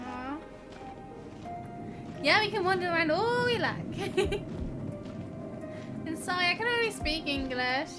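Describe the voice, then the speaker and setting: A woman's voice: very high-pitched, lively intonation, slow pace, pauses often, over music. A woman livestreaming a game, commenting casually in a giggly, playful way. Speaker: live game streamer. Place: indoors, streaming over game audio.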